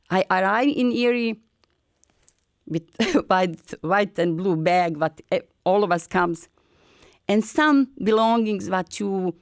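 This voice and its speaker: An older woman from Bosnia with a Bosnian accent, telling her story in halting, broken English in an earnest, encouraging way. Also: on the low side, no room sound, studio quality. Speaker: featured artist. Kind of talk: personal storytelling.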